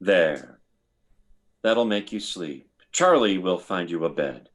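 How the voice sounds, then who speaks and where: Male actor playing measured military medic, lowish voice with lively swings, vintage sci-fi radio drama. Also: a little hiss or hum. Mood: soothing. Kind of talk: 1950s radio drama acting.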